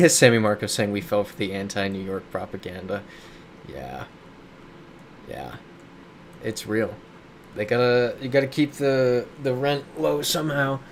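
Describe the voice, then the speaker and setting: Streamer, male, lowish voice, chatty and riffing on chat; faint air-conditioner hum behind. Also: lively intonation, measured pace, long pauses. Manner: chatty, reads viewer comments aloud and riffs on them. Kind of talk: casual live-stream chat while playing a geography game. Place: his home in a big city.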